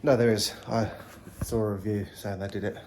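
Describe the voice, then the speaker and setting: A man's voice: lowish voice, little pitch movement, a little fast, a little mellow, a little hiss or hum. A man, chatting and narrating casually as a travel vlogger. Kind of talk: casual vlog narration and chat. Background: music.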